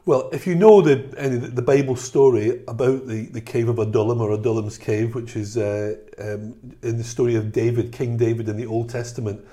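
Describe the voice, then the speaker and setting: A middle-aged man from Scotland with a softened Scottish accent, co-hosting a church podcast in an earnest, storytelling way. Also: medium pitch, steady tone, moderate pace, hardly any pauses. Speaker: podcast co-host, church leader. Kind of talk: casual chat.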